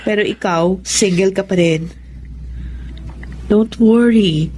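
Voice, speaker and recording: A woman's voice: mid-range voice, slow pace, a little mellow, slight background noise, natural voice. An actress playing a playful young woman with a light, teasing manner in a Tagalog radio drama. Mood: concerned, teasing.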